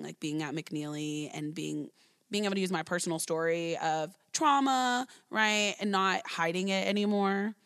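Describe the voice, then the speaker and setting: Earnest low-voiced woman telling her story. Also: a bit bright. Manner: earnest, talky, full of "like" and "right?". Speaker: early childhood educator. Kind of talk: personal storytelling in an interview.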